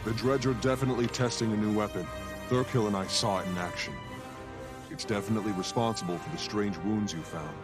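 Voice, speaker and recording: A man voices a big norn warrior, the hero of a fantasy game. His voice is fairly low, with an earnest, measured, matter-of-fact delivery. Clear game music sits behind the lines. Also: close to an everyday voice. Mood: serious. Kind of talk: fantasy video game voice acting.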